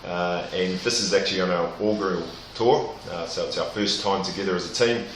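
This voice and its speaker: Deep, flat-voiced man, echoey room. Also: a little fast, slight background noise. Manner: hesitant, many fillers. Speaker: team captain. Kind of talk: interview answers.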